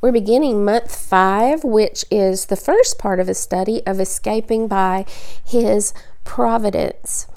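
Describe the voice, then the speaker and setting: A woman with a raspy voice, sick with the flu, teaching an earnest devotional Bible study and restating points for emphasis. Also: medium pitch, slow, darkish tone.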